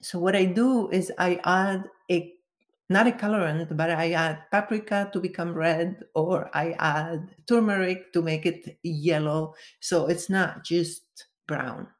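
A woman's voice: fairly low pitch, measured pace. A woman from Venezuela, a guest giving careful, step-by-step explanations in an interview. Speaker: guest, color expert.

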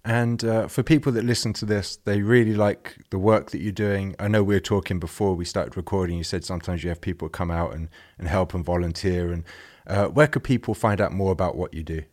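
A man hosting a podcast asks his guest questions in a relaxed, conversational tone. His voice sits low, and he leans on easy fillers as he talks. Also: fairly quick, few pauses. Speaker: podcast host. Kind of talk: casual interview questions.

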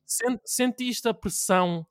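Halting podcast host. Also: male voice, extremely high pitch, smooth, no echo, clean studio sound. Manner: halting, many restarts and fillers. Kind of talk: casual podcast interview.

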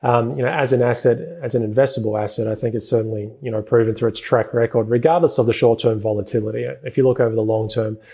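A man speaks as an interview guest. His voice is dark and mellow with a husky edge. He talks fast, padding his answers with fillers and restarting phrases. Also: mid-range voice, fairly even intonation. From New Zealand. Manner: rapid speech with many fillers and restarts. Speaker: guest, crypto investment executive.

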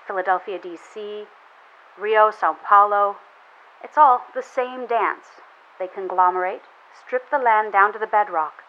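A woman playing a weary, resolute enclave leader sending a grave, deliberate distress broadcast in an audio drama, filtered like a radio transmission. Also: medium pitch. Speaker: community leader. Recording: dull radio transmission with hiss.

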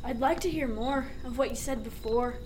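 Woman acting a high-voiced wry philosophical sailor. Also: normal speed, darkish tone, a little hiss or hum, lightly acted. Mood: wry, reflective. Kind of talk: radio drama acting.

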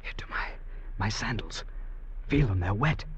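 A man's voice: fairly low pitch, lively intonation, normal speed, a bit bright. An actor playing a frightened, despairing male convict in a radio drama, speaking in an agitated, pleading way. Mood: frightened, bewildered. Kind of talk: 1940s-50s radio drama acting. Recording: dull, noisy old radio recording.

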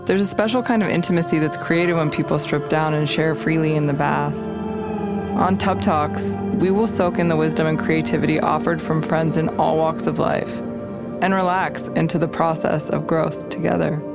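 Gravelly-voiced woman host. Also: sits low, fairly even intonation, moderate pace, loud music behind the voice. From California. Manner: gentle, curious, affirming. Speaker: podcast host. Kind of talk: scripted intro, then casual interview. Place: clawfoot bath outdoors under a tree.

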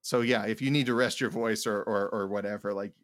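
A man co-hosting a casual chat about game mastering, talking in a halting, rambling way and often repeating words. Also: on the low side, steady tone, no echo, clean studio sound. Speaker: podcast co-host.

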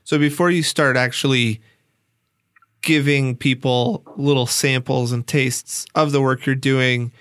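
A man hosting a podcast, narrating and discussing in a clear explanatory podcast style. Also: mid-range voice, fairly bright tone, no room sound, studio quality. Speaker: podcast host, interviewer. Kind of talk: scripted podcast narration with conversational interview.

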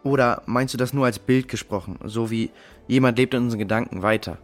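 A man's voice: mid-range voice, fast talker, soft background music, natural voice. An actor playing a curious, sceptical boy who asks blunt questions in a children's audio drama. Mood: sceptical, puzzled. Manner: questioning, colloquial. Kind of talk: German children's radio play acting.

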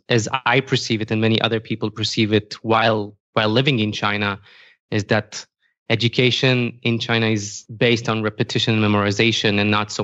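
A man hosting an interview in a conversational style, deliberately building up long questions. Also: on the low side, a bit bright, no room sound, highs cut off. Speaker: interviewer, host.

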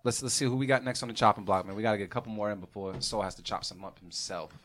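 A man's voice: on the low side, rapid-fire, a bit bright, soft background music. A man co-hosting a beat podcast, joking and hyping up his guests in casual chat. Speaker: podcast co-host.

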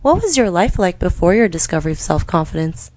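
A woman's voice: on the low side, very animated, fast, darkish tone. A woman hosting an interview podcast, upbeat and encouraging, often saying "you know". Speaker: podcast host.

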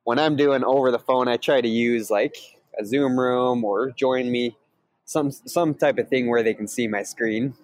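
A man co-hosting a podcast, explaining his sales approach in casual chat, upbeat and energetic. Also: mid-range voice, measured pace, no echo. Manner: upbeat, energetic, laughs easily. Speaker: podcast co-host, sales trainer. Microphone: remote call, each on own mic.

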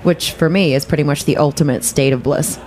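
A woman's voice: sits low, brisk, a little mellow. A woman co-hosting a casual show with wry, playful banter. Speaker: co-host.

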